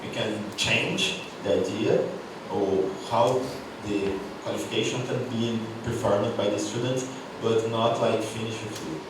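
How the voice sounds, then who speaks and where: Halting male professor in echoey hall. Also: lowish voice. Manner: halting, many fillers. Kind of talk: panel discussion. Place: university auditorium. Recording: echoey hall, distant mic, noisy.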